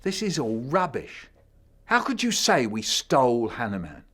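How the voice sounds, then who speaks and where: A man's voice: mid-range voice, big pitch swings, measured pace, a bit bright, husky, full character voice. Brief: a man playing an indignant lady from a painting, storybook. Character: defiant female figure from a painting.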